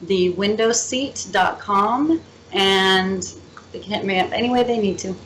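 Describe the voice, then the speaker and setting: A woman's voice: mid-range voice, unhurried, fairly bright tone, slight background noise. A woman being interviewed as a guest, talking in an upbeat, talkative way and telling stories. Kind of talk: casual interview chat.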